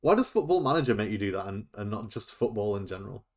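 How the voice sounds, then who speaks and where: A man's voice: fairly low pitch, big pitch swings, fast talker. A young man hosting a casual podcast, chatty, laughing often and tripping over his words. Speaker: podcast host. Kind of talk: casual chat.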